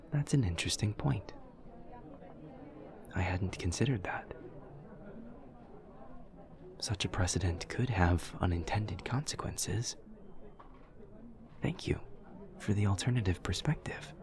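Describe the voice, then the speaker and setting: A very deep-voiced, awkward demon student played by a man speaks with a creaky rasp at the bottom of his range. He is formal and literal, choosing exact words in a soft, measured audio-roleplay delivery, earnest and utterly polite. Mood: thoughtful, polite. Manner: stiff, precise, literal, measured formal diction. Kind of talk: audio roleplay, scripted monologue to a listener.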